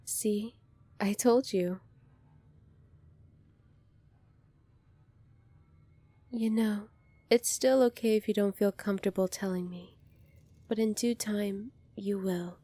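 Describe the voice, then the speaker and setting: Soft-spoken gentle alien princess, acted by a woman, dry and mellow. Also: mid-range voice, steady tone, measured pace, no room sound, natural voice. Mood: warm, reassuring. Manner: soft-spoken, polite, earnest, apologetic. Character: gentle, earnest young alien princess, kind and unsure. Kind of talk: ASMR roleplay speaking to the listener.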